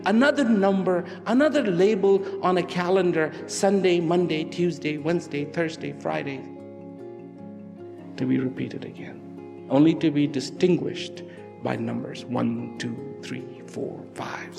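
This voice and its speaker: A man giving a reflective public talk, slow and emphatic, swinging from loud declarations to hushed, almost whispered lines. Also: high-pitched, gravelly. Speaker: speaker. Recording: long hall reverb with faint music.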